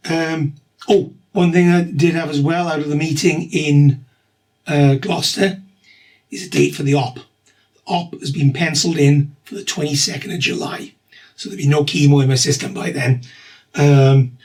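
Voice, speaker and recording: Husky man, video diary. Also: on the high side, a little mellow. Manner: rambling, self-correcting, matter-of-fact. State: slight cough. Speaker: vlogger documenting his cancer treatment.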